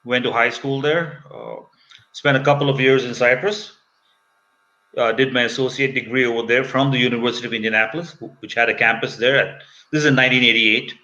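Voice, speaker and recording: Bright, forceful man in his fifties. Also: mid-range voice, fairly even intonation, fairly quick. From Kuwait. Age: 50s. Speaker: interview guest. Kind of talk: conversational personal storytelling. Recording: slightly dull video call.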